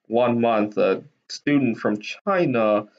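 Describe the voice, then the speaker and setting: A man from Taiwan casually telling personal anecdotes to viewers in a hesitant, halting way with frequent ums. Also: medium pitch, animated. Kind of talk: casual rambling storytelling. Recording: dull lo-fi sound.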